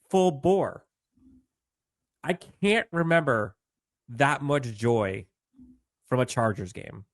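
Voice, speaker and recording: A man co-hosting a sports podcast, animated and building long emphatic runs of points. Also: medium pitch, no echo, studio quality. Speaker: podcast co-host. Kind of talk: excited sports podcast talk.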